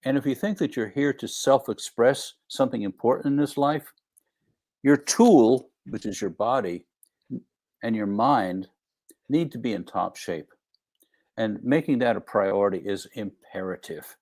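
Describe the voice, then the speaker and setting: A man's voice: medium pitch, measured pace, a little mellow. A man speaking as a guest doctor in a live discussion, explaining things in emphatic, declarative statements.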